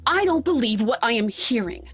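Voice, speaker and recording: A middle-aged mother in an audio drama, high-pitched and anxious. She is overbearing with her teenage girl, and music sits faintly behind her. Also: lively intonation, fairly quick, fairly bright tone, slightly rough. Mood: scolding.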